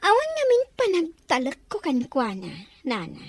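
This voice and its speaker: A woman's voice: high-pitched, wildly swooping pitch, moderate pace, no echo. A woman playing a sly, falsely sweet young schemer in an Ilocano-accented audio drama. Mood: sly, scheming. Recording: faint background noise.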